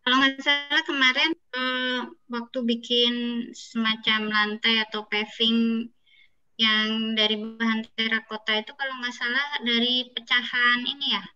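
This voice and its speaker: A woman's voice: a little high, level tone, a little slow, sharp tone, smooth, highs cut off, faint music behind. A woman chatting as a guest in a casual discussion. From Indonesia. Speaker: government official, guest.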